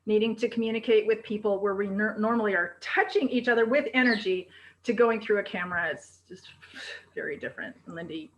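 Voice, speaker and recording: A woman presentation coach who projects her voice loudly and with high energy, speaking on a video call. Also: brisk, poor audio.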